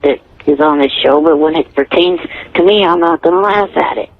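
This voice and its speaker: Creaky, higher-voiced male phone caller. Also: no room sound. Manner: earnest, literal, halting. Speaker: radio show caller. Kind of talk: phone-in conversation. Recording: dull telephone call on radio.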